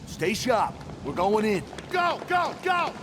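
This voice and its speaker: An actress playing an urgent police officer calling out during a raid in a video game. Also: female voice, fairly high pitch, very animated, takes it slowly. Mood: urgent, alarmed. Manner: urgent, shouted calls. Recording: noisy scene, heard at a distance.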